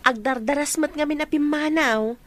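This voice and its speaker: A woman's voice: sits high, normal speed, natural voice. A woman playing a chatty young best friend in a radio drama. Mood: curious, reassuring. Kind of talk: Ilocano radio drama acting.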